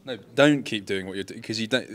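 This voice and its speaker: A man's voice: fairly low pitch, a little fast. A young man interviewing with a handheld mic, teasing his guest in a dry, mocking roast style. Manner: deadpan, mocking. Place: esports event backdrop, indoors.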